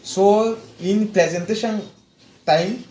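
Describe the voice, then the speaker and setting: Slow, halting man with a high voice. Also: dark tone. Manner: hesitant, with repeated words and long pauses. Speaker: client giving a testimonial. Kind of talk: personal story, slow and halting. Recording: echoey room with faint background noise.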